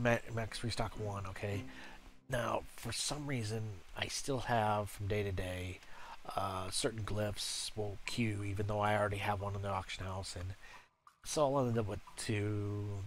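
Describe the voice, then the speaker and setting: A man with a stuffy nose from a cold, walking through game settings in a casual, halting tutorial full of uhs and restarts. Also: on the low side. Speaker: game guide creator. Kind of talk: casual screen-recorded tutorial.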